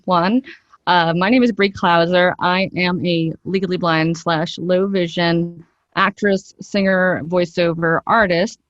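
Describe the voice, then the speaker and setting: A woman with a lowish voice talks as an interview guest, chatty and keen to explain. The sound is dry and close, and her delivery is conversational and enthusiastic. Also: no room sound, highs cut off.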